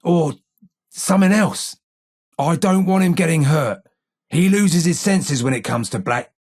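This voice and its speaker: The husky old ghoul boss you'd find holding court in an East London gang hideout in a video game, swearing and sneering in a fairly high-set London growl. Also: male voice, a little slow, darkish tone. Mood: weary, worried. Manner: salty, sarcastic Cockney slang. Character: gruff, foul-mouthed, sardonic old London gangster ghoul. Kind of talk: video game dialogue acting.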